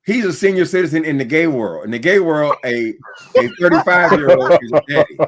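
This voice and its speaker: A man's voice: a little high, animated, brisk, slight background noise. A man in his sixties hosting a casual panel chat, blunt and teasing with wisecracks. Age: 60s.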